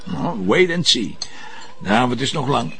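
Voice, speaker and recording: A man's voice: medium pitch, big pitch swings, a little slow, a bit bright, a little hiss or hum. A man acting as a wry, teasing Flemish detective, casual and playful, in a naturalistic television drama. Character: wry, teasing male detective on a dinner date.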